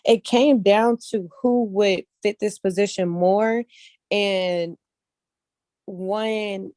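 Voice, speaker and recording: A woman's voice: mid-range voice, fairly even intonation, very slow, a little mellow, no echo. A woman speaking casually as an interview guest, repeating points for emphasis and often checking that the listener follows. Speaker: interview guest, casting director and actress.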